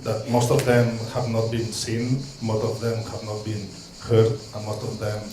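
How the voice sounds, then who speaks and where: A man's voice: on the low side, little pitch movement, a little slow, roomy sound, noisy background. A man from Catalonia speaking English with a Catalan-Spanish accent, giving a hesitant, earnest talk as a street social educator from notes. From Catalonia, Spain. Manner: hesitant, many ums and restarts, warm asides.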